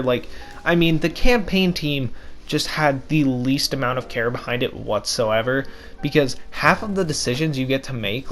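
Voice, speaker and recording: A young man with a scratchy, hoarse throat giving casual gaming commentary, ranting with frustration and repeating himself. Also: mid-range voice, fairly bright tone. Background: background music.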